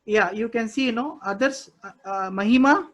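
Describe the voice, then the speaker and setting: Male instructor, Indian English accent, fairly high voice, repetitive teaching style with frequent "okay" check-ins. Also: normal speed, a bit bright. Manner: checks in often with "okay" and "understood?", repeats phrases. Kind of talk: live technical class walkthrough.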